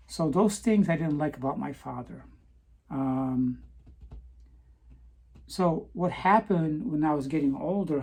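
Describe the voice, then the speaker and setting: A middle-aged woman telling her own life story in a slow, hesitant way, often pausing with "um" and restarting her words. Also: sits low, warm tone, husky. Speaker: vlogger. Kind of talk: personal confessional monologue.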